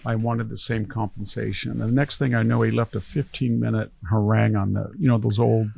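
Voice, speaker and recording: A man's voice: lowish voice, flat delivery, a little fast, creaky, a little mellow, a little hiss or hum. An older man, a historian, talking casually in a podcast, wandering from one anecdote to the next. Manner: rambling, anecdotal storytelling. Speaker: historian guest.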